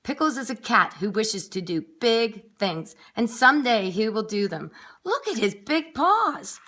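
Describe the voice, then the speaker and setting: Woman acting a high, emphatic, kindly storybook lady. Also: big pitch swings, a little slow, fairly bright tone, full character voice. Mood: earnest. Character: kindly, fretful cat-loving woman in a children's storybook.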